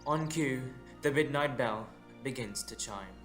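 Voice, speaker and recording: A man's voice: mid-range voice, a little slow. A man narrating a scripted audio drama in a dramatic storytelling style. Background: instrumental music.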